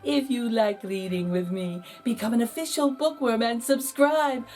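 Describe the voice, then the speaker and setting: A woman reading a children's picture book aloud as host, theatrical and deliberate, with dramatic pauses. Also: fairly high pitch, darkish tone, faint music behind. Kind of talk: expressive storybook narration.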